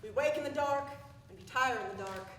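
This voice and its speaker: Casting: rapt, incantatory female stage chorus, higher register, distant mic. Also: brisk, fairly bright tone. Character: entranced female chorus member of devout commune. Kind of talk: live stage play chorus. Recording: reverberant hall, distant, faint noise.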